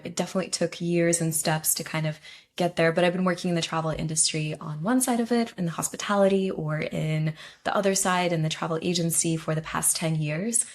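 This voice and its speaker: A fairly low-voiced young woman from Ukraine chats as an interview guest. She talks loosely, hedging with ums and restarting her sentences, and laughs easily. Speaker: guest, travel consultant. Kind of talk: casual interview conversation.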